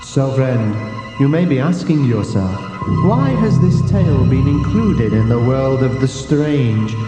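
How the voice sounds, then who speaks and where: A man's voice: mid-range voice, animated, measured pace. A man reading a scripted comic mystery tale as a storyteller, in a melodramatic, mock-portentous manner. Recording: echoey, long reverb, music behind. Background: music.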